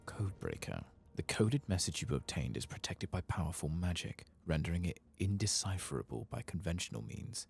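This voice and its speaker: A man giving soft-spoken ASMR commentary on a video game, unhurried and often slipping into a breathy whisper. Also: low voice, a little hiss or hum.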